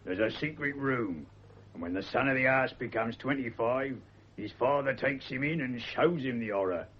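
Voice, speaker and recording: A gruff working-class Cockney servant from a radio play. His voice is husky and middling in pitch, with blunt, slangy, grumbling delivery. The recording is dull and lo-fi. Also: expressive, moderate pace. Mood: wary, grumbling. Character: gruff, superstitious working-class manservant, wary and cheeky. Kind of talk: British radio drama acting.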